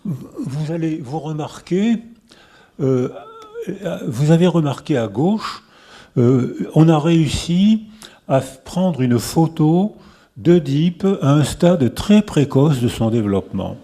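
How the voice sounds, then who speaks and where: Older male lecturer, French accent, husky and dark-toned, loose conversational delivery. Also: a little high, expressive, slow pace. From France. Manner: conversational, with restarts and rhetorical questions. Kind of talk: public lecture to a live audience.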